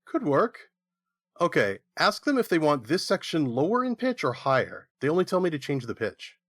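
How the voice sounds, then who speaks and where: A man's voice: fairly high pitch, wildly swooping pitch, normal speed, a bit bright, dry recording, clean studio sound, heavily acted. A man playing a harried half-banana gnome audio editor who makes puns in a comedy audio drama. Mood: hapless, punning.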